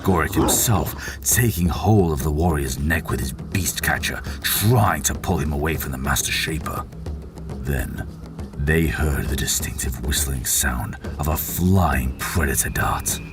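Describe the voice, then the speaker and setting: Extremely deep, gravelly male narrator. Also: a little slow. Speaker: audiobook narrator, voice actor. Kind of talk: dramatic scripted fiction narration. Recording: voice over prominent background music.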